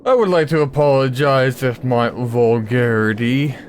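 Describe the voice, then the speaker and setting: A man giving casual gameplay commentary as a video creator, blunt, sarcastic and quick to swear. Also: mid-range voice, soft background music.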